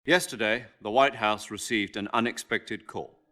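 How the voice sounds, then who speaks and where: An actor playing a veteran commander briefing a soldier, dry and matter-of-fact. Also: male voice, fairly low pitch, moderate pace, a bit bright, lightly acted. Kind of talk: video game cutscene acting. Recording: game audio with score behind.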